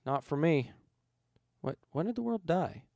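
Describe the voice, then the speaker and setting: A man's voice: on the high side, sing-song, measured pace, vocal fry, warm tone, no echo, clean studio sound, voice pushed up, exaggerated voice. A man playing a folksy, menacing former preacher turned villain in a story reading. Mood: curious. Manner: folksy, rustic phrasing.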